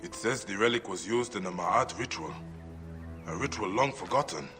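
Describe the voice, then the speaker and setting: An actor playing a stern, determined warrior investigator in a video game, questioning people in a terse, no-nonsense way. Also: male voice, on the low side, lively intonation, normal speed, lightly acted. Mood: grave, demanding. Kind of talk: video game cutscene acting. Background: music.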